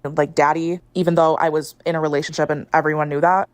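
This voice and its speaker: Low-voiced woman in her late twenties. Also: level tone, fast talker. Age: late 20s. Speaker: video storyteller. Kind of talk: casual personal storytelling and commentary.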